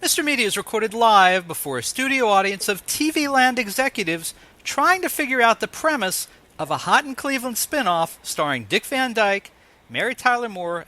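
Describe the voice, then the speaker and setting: Animated, high-pitched male interview host. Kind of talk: scripted introduction and ads, then interview chat.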